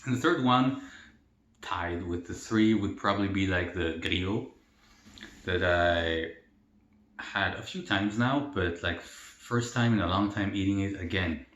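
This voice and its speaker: A young man from El Salvador, a mukbang vlogger, answering viewer questions in a relaxed, rambling way with many fillers. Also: low-pitched, unhurried, lo-fi sound. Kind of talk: casual Q&A while eating. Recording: roomy echo.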